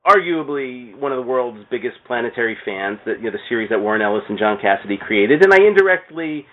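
A man's voice: medium pitch, lively intonation, fast. A man chatting as a podcast guest, telling stories in a rambling, enthusiastic way and repeating words. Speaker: podcast guest, comic shop owner. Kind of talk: casual storytelling chat. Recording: tinny phone-like sound, clear background noise.

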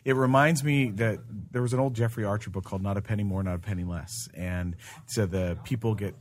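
A man on a podcast panel chatting casually, earnest and halting, often repeating his words. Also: lowish voice. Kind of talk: casual chat.

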